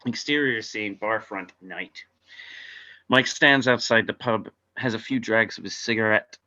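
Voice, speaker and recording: A man's voice: lowish voice, sharp tone, lo-fi sound. A man narrating screenplay stage directions at an amateur table read.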